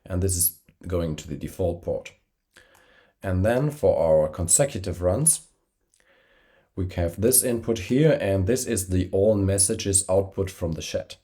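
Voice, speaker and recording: Deep mellow man with a cold. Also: lively intonation, unhurried. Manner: hesitant, many fillers and self-corrections. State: has a cold, slightly congested. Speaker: software tutorial host. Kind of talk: screen-recorded software tutorial. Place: traveling, no camera, voice-over a screen recording. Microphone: poor-quality travel microphone.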